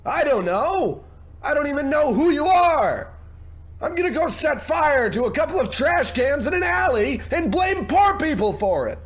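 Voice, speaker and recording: A man's voice: very high-pitched, very animated, moderate pace. A man playing a pushy, callous TV show boss in a comedy radio play, brash and bossy.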